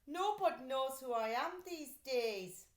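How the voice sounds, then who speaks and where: A sulky little boy from a storybook, played by a woman reading aloud, pitched high and full of whiny demands and self-pity. The sound is roomy and echoey. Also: expressive, slow. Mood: wistful, self-pitying. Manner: exclaiming, demanding, self-pitying. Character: jealous, sulky little boy, younger brother.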